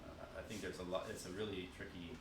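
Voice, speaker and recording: A man giving a relaxed marketing talk at a dinner event, full of fillers and restarts. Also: deep voice, fast talker, noisy background. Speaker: guest speaker on marketing. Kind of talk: casual presentation with Q&A. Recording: echoey room, distant mic, background chatter.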